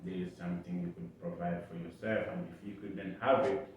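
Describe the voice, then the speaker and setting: A young man raised in Uganda, answering interview questions in a halting way with many pauses and restarts, recorded from a distant mic in an echoey room. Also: deep voice, steady tone, noisy background. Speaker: interview guest. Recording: echoey, distant, dull sound.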